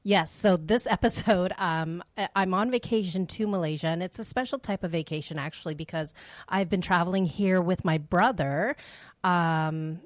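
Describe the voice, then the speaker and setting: A woman's voice: fairly low pitch, normal speed, no echo. A middle-aged woman from Canada, born in Vietnam, hosting a faith show with a casual, earnest personal testimony spoken directly to listeners. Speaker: host of a faith radio show.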